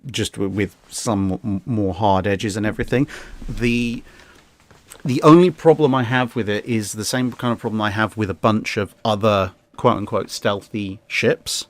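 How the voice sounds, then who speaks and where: A man talking casually as a guest commentator, giving opinions in a measured, analytical way. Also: lowish voice. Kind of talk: casual discussion.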